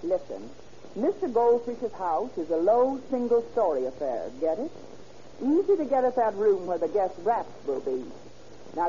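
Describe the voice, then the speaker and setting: An American actress playing a brisk, confident police records clerk in an old-time radio drama, explaining things with assurance. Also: female voice, medium pitch, dark tone, dull audio, a little hiss or hum, lightly acted.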